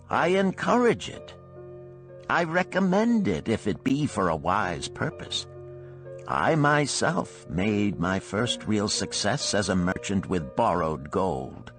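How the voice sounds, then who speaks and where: A man's voice: medium pitch, gravelly, pitched above the performer's own voice, exaggerated voice. A man voicing a shrewd older gold lender in a storybook, speaking in an archaic, emphatic, declamatory way. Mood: earnest counsel.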